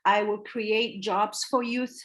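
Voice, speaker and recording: A woman's voice: medium pitch, steady tone, slow, a bit bright. A woman in her forties from Somalia, a city council candidate answering interview questions earnestly over a video call. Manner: earnest, emphatic, repeats key phrases.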